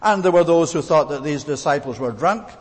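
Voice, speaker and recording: Scottish preacher in a reverberant hall. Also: male voice, fairly high pitch, animated, a little fast. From Scotland. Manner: earnest, emphatic, repeats words for stress. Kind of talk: expository sermon. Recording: long reverb of a large hall.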